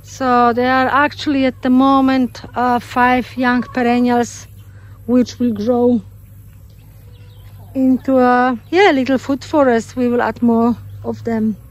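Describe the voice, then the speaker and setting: A woman's voice: on the high side, level tone, slow pace. A woman casually narrating a walking tour of her homestead outdoors, speaking unhurriedly with fillers and a turn of phrase. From Australia. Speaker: homesteader giving a farm tour. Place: outdoors in a rural garden with poultry. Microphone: phone camera held while walking.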